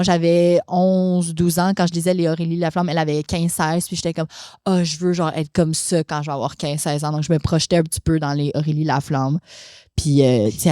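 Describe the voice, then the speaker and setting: Young québécoise woman, low voice, dry close sound, chatty and laughing, slips in english words. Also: mellow, dry recording. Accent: Québécois French. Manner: chatty, mixes English expressions, laughs often. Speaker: podcast guest. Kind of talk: casual conversation.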